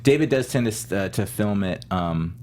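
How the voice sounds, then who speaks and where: A middle-aged man talks easily in an interview, rambling a little and laughing as he goes. His voice sits low. A faint hum runs under the recording. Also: lively intonation, normal speed, darkish tone. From Southern California. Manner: chatty, laughs often, many restarts. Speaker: actor guest. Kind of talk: casual interview chat.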